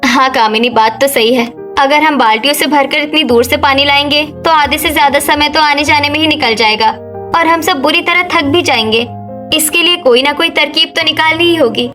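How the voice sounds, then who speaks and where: A woman's voice: sits high, normal speed, sharp tone, soft background music. A woman voicing a sensible, encouraging elder village daughter-in-law in a Hindi cartoon story. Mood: concerned.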